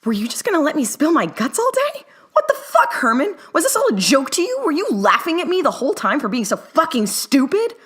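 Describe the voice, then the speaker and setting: A woman voicing a hot-tempered, foul-mouthed young male scientist in a story, shrill, slangy and outraged. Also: huge pitch swings, fairly quick. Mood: hurt, angry. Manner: shrill, shrieking and shouting, slangy. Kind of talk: dramatic fiction reading.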